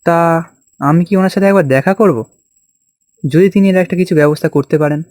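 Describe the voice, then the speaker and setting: A man's voice: on the high side, brisk, a little mellow, no room sound, studio quality, lightly acted. A man playing a beleaguered farmer in a fairy tale cartoon, with an earnest, exclamatory way of talking. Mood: worried. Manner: exclamatory, plaintive. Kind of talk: children's cartoon voice acting.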